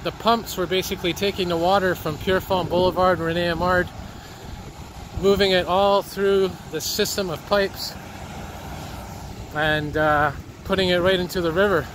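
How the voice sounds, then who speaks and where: A man, slightly hoarse from a cold, narrating as he walks by a flooded river with a handheld camera. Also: high-pitched, steady tone, moderate pace. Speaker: citizen video reporter. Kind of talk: walking commentary. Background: street and water noise.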